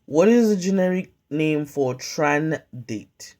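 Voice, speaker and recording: A woman tutoring pharmacy students, calmly drilling drug names in a slow question-and-pause quiz, recorded on a phone at home. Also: low-pitched. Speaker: pharmacy tech study tutor. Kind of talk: slow flashcard-style quiz review. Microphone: phone camera filming whiteboard.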